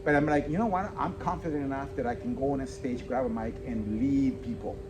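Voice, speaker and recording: A man's voice: mid-range voice, fairly even intonation, brisk, highs cut off, background noise. A man from El Salvador with a Spanish accent, hosting a casual interview with eager, filler-filled speech. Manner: enthusiastic, repeats phrases, many fillers. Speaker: podcast host.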